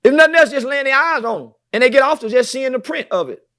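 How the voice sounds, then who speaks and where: A man's voice: very high-pitched, lively intonation, fast talker, sharp tone. A man talking brashly as a podcast guest, boastful and preachy, swearing constantly.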